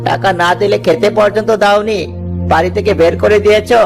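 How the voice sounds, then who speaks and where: A man's voice: high-pitched, steady tone, brisk, vocal fry. A man voicing an earnest, fatherly, righteous village man in a moral-story cartoon. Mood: stern, reproachful. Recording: music under the voice.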